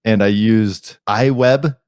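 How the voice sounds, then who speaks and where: Laid-back low-voiced man telling stories. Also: slow, a little mellow, smooth, no room sound, studio quality. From United States. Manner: laid-back, self-deprecating, laughs through his stories. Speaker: podcast guest, software founder. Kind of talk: casual interview storytelling.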